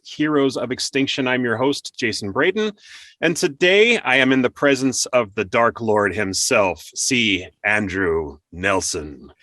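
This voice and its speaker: A man's voice: mid-range voice, fairly bright tone, no room sound. Male podcast host with a dry, close sound. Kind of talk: casual interview chat.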